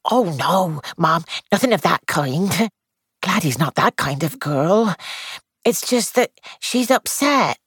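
A woman's voice: lowish voice, animated, slow pace, breathy edge, no room sound, clean studio sound, pitched above the performer's own voice, full character voice. A woman voicing a young, anxious housemaid who rambles on in breathless, deferential gossip. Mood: fretful.

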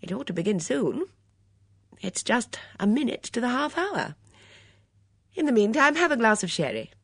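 Audiobook female reader as hostess: cheerful, composed, brisk, raised lively pitch. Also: dry recording, studio quality. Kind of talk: audiobook character acting.

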